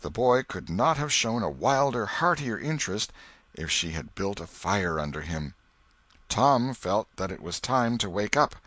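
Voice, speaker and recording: A man reading a story aloud in an expressive, varied narrating style. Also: on the low side, dry recording.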